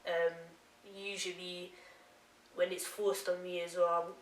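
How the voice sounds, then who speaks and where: A woman's voice: lowish voice, little pitch movement, slow, recorded from a distance. A woman chatting casually as an interview guest, using plain, informal words. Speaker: guest, author. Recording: echoey room, off mic, faint hiss.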